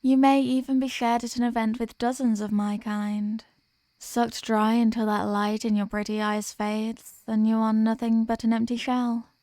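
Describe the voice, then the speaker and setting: A woman's voice: on the high side, level tone, normal speed, warm tone, no echo, studio quality, close to an everyday voice. A woman playing a possessive, dominant vampire in an ASMR roleplay, speaking in a teasing, condescending manner with formal phrasing. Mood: coolly threatening. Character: possessive, dominant female vampire toying with captive prey. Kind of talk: ASMR roleplay, scripted intimate monologue.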